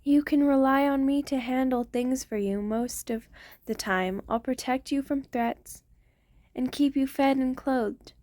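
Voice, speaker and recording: A woman playing an ancient, protective vampire queen in an intimate ASMR roleplay, speaking tenderly and softly to her lover in formal wording. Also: sits high, a little slow, dry recording. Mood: reassuring, affectionate.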